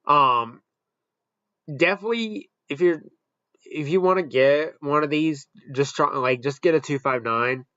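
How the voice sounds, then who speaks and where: A man's voice: a little high, measured pace, pushed and bright, dry recording, dull audio. A man giving casual, off-the-cuff commentary as he hosts a product ranking. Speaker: host ranking weather radios.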